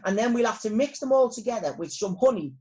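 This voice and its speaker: A woman acts out a nervy male bee inventor from a children's storybook. He is fretful and dramatic, talks in homely colloquial phrases, and his pitch jumps high and swings widely. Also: lively intonation, fast talker, a little mellow, dull audio, lightly acted. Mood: thoughtful, listing. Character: anxious, reluctant male bee inventor with hay fever.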